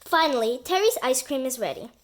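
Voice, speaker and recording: A woman reading a children's book aloud as expressive narration, with deliberate dramatic emphasis. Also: high voice. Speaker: storybook reader.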